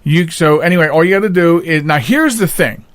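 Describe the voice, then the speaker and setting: Higher-pitched man hosting a casual podcast. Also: fairly quick, no room sound. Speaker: podcast host. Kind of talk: casual chat.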